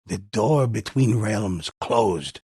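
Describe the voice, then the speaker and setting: An actor playing a grave old male storyteller in a video game, telling ghost legends in a solemn, ominous, measured way with a gravelly, husky voice. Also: fairly low pitch, animated, a little mellow, no echo, clean studio sound, exaggerated voice. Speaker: narrator.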